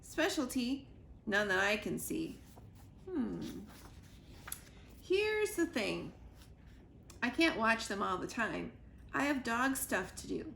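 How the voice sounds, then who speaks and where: A woman's voice: a little high, very animated, slow pace, vocal fry, pauses often, a bit bright, roomy sound, a little hiss or hum, exaggerated voice. Woman reading as a dry, weary retired dog. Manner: dry, laid-back first-person narration.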